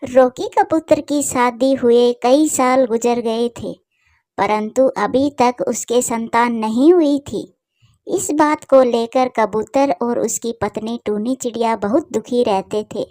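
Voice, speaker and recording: A woman's voice: on the high side, takes it slowly, warm tone, no echo, clean studio sound. A woman storyteller reading scripted narration for a cartoon tale.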